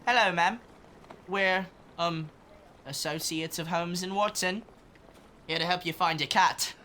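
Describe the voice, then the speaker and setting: A woman's voice: lowish voice, a little slow, bright, forceful tone, a little hiss or hum. Bossy young boy gang leader. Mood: eager to please. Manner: commanding, matter-of-fact. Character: young boy leading street urchins, bossy, earnest, shrewd. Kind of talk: scripted audio drama acting.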